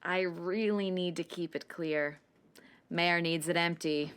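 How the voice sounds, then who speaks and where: A woman's voice: lowish voice, steady tone, takes it slowly, fairly bright tone, clean tone. An actress playing a down-to-earth, plainspoken mother who works as a secretary in a radio play, talking casually and expressively. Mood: polite, businesslike. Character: down-to-earth, chatty mother working as town hall secretary.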